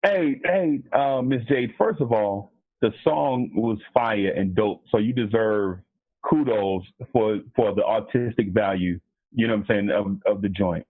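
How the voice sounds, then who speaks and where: A man's voice: on the low side, a little slow, breathy edge, no echo. A man co-hosting an interview, speaking in a slangy, earnest way. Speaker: radio co-host. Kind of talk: casual interview chat. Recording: tinny phone or call line.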